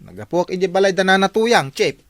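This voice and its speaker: Coy, lively Filipino villager. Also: male voice, sits high, a little fast, slight background noise. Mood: coy, pleased. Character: coy, gullible male villager, secretive about his love life. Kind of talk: Ilocano radio comedy acting.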